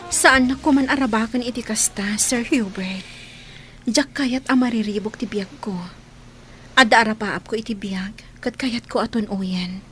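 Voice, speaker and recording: An earnest young housemaid played by a woman in an Ilocano radio drama, with a slightly high, soft voice. She speaks with pleading sincerity, and there is faint noisy ambience behind her. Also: natural voice.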